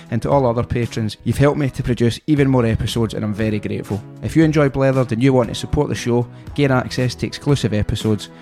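A man's voice: mid-range voice, darkish tone. A Scottish man hosting a podcast, reading a scripted intro and then trading friendly, cheeky banter with his guest. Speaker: podcast host.

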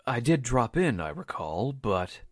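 A man performing in a deliberate 1940s radio drama style. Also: mid-range voice, very animated, no echo, clean studio sound.

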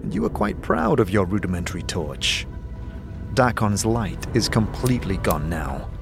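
A man narrating a scripted fantasy audio drama in the second person, dramatic and deliberate. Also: fairly low pitch, sing-song, gravelly. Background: music.

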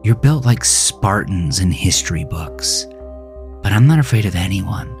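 A man's voice: deep voice, animated, unhurried, creaky, soft background music, exaggerated voice. A man voicing a wary, bold young woman with a blunt, challenging manner in an audio story. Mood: defiant. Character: wary, bold, teasing woman confronting an awkward driver.

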